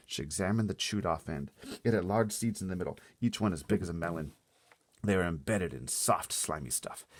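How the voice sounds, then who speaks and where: A man's voice: sits low, husky, dry recording. A man reading a children's story aloud expressively, with dramatic delivery and brief playful asides. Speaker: story reader.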